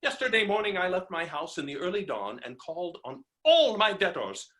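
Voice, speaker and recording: A man's voice: sits high, big pitch swings, fairly quick, pushed and bright, full character voice. A man playing a blustering, hot-tempered creditor in a theatrical stage comedy reading, ranting with exasperated, melodramatic exclamations. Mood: indignant complaining. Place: indoor room, recorded remotely. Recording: roomy, echoing virtual staged-reading recording.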